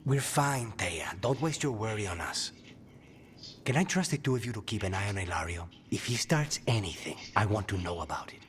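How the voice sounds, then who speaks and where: A man's voice: fairly low pitch, animated, brisk, a little hiss or hum, lightly acted. A man playing a troubled, intense assassin in a video game, speaking with a Spanish accent. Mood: resolved. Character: troubled male assassin sharing his mind with a demon. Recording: game music behind.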